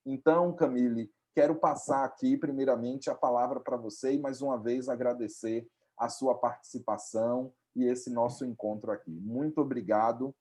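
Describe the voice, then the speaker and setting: A man moderating an online webinar, introducing the first speaker courteously and at a measured pace. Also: medium pitch, fairly even intonation, darkish tone. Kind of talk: formal introduction. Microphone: video call.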